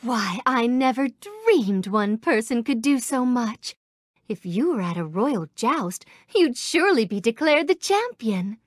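A woman voicing a gentle young princess in a game, with a soft, sweet, refined voice and a polite, gracious way of talking, recorded close in a studio. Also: fairly high pitch, sing-song, measured pace, dry recording. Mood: grateful. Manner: polite, gracious, warmly grateful. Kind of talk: game voice clips. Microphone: close studio mic.

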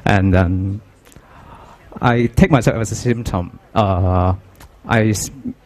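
A young man with a Hong Kong accent talks in a halting, soft-spoken way, his voice lowish and mellow. His first language is Cantonese, and he answers interview questions on a handheld mic at an art fair booth. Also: a little hiss or hum. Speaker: guest artist. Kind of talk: casual interview talk.

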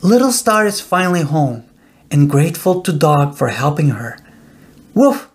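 A middle-aged man narrating a children's bedtime story in a deliberate, expressive storytelling way. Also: fairly high pitch. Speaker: author reading own children's book.

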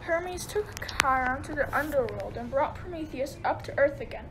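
A woman's voice: high-pitched, lively intonation, normal speed, background noise. A woman narrating a radio play as a scripted storyteller.

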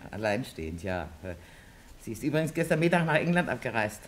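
An actress playing an obliging, gossipy, slightly hesitant apartment concierge in a radio crime drama, with slight background noise. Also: female voice, extremely low pitch, very animated, brisk. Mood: obliging, chatty. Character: chatty, hospitable apartment building concierge.

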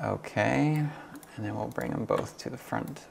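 A man's voice: lowish voice, unhurried, gravelly, a little hiss or hum. A young man giving a casual hands-on tutorial, thinking aloud in a slightly hesitant way. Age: young adult. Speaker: hobbyist host demonstrating tape transfer. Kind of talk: casual tutorial vlog talk. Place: small furnished rooms at home, indoors.

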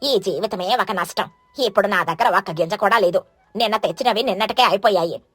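A woman's voice: mid-range voice, a little fast, fairly bright tone, slightly rough, no echo, voice pushed down. A woman voicing a lazy, grumpy, scornful mother crow in a children's cartoon story, grumbling and scolding. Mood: irritated, lazy. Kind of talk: animated children's moral story.

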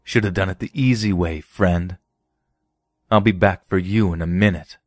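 A man voicing a menacing teenage bully leader with sneering, drawn-out taunts. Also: deep voice, fairly even intonation, no echo, studio quality.

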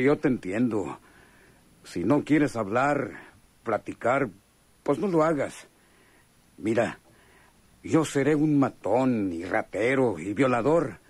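A man's voice: mid-range voice, darkish tone, slightly rough, no echo, exaggerated voice. A Mexican man acting a chummy, sly, hardened convict in a radio drama, talking in slangy, hesitant phrases. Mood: chummy, curious. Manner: slangy, hesitant drawl with knowing chuckles.

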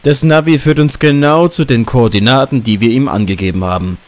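A man's voice: medium pitch, brisk, lightly acted. A man voicing a confident, casual, teasing male journalist in an audiobook. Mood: assured. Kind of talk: audiobook dialogue.

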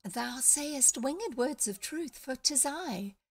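A woman voicing a sly female parrot who pretends to be a fairy queen, in a small, high, girlish voice with grand, mock-old-fashioned speech. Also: expressive, takes it slowly, full character voice. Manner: mock-archaic, grandly solemn. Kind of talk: reading a storybook aloud.

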